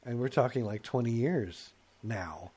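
A man's voice: mid-range voice, measured pace, a little mellow, slightly rough. A middle-aged man co-hosting a talk show in casual comic chat, rambling and self-mocking, slipping into put-on voices to quote himself.

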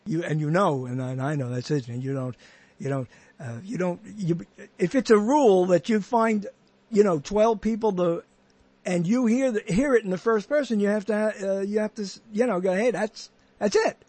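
A man's voice: high voice, normal speed, a little mellow, no room sound. A man hosting a podcast, chatting casually and rambling with many restarts and half-finished thoughts. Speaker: podcast host. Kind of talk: casual interview chat.

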